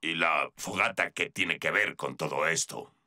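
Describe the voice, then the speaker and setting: Serious robot, cartoon, deep but really bright forceful voice. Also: male voice, unhurried, heavily acted. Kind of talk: animated cartoon dub.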